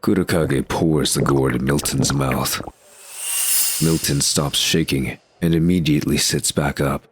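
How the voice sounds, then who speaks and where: A man's voice: rumbling low, animated, measured pace. A man giving scripted, present-tense narration as the narrator of an audio drama.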